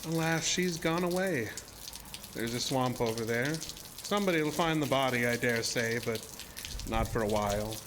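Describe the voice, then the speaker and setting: A man's voice: mid-range voice, normal speed, natural voice. Man acting a sinister, courtly showman villain, watery noise behind. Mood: smug, courteous. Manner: theatrical, courtly, insinuating formal speech. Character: sinister, seductive showman-illusionist, deceptively ageless male villain. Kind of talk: horror audio drama acting.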